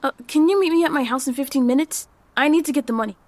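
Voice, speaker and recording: Woman playing an earnest, high-voiced middle school boy. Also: fast talker, a little mellow, natural voice. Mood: chatty. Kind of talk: audio drama dialogue.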